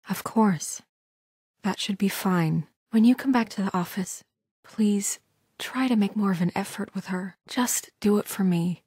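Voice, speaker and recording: A woman's voice: fairly low pitch, darkish tone, breathy edge, dry recording. Composed, caring woman official, very dry sound. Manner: earnest, measured, diplomatic. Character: composed, caring, firm young woman official in a fairy-tale community. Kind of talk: naturalistic video game voice acting.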